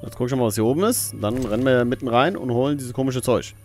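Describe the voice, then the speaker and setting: A man's voice: mid-range voice, fast talker, a little mellow. A man hosting a let's play, giving casual, playful gaming commentary and often muttering to himself. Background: faint game music.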